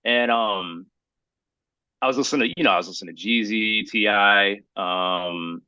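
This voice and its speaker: Bright-voiced man telling rambling stories. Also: lowish voice, moderate pace, smooth, no room sound, poor audio. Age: 30s. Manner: rambling, emphatic storytelling with slangy phrasing. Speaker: podcast co-host. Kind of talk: casual podcast chat about hip hop.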